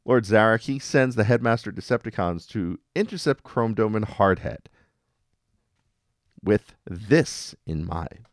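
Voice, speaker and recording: A man's voice: fairly low pitch, lively intonation, a little slow, no echo, studio quality. A man hosting a casual fan podcast, recapping cartoon episodes in an enthusiastic, conversational way. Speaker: podcast host. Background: music under the voice.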